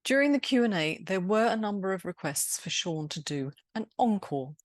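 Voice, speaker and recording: A woman's voice: medium pitch, expressive, normal speed, no room sound, studio quality. A woman host delivering scripted closing remarks for an event.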